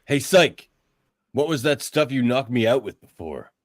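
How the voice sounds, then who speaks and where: A man playing a gruff, defiant mutant brawler in a radio play, terse and hot-tempered. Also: medium pitch, animated, moderate pace, no room sound, studio quality, voice pushed up, natural voice. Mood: gruff, wry.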